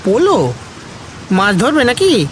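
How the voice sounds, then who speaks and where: An excitable old village servant in an audio story. The voice is pitched high, rustic and deferential, full of exclamation, with noisy rain behind it. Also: male voice, slow, exaggerated voice. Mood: curious, obliging. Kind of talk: Bengali audio story acting. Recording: rain and ambient noise behind.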